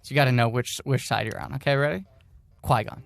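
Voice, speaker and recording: A man's voice: mid-range voice, steady tone, fast, vocal fry, no echo. A man co-hosting a podcast, giving a mock-serious dramatic reading and breaking off into casual, amused asides. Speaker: podcast co-host reading aloud.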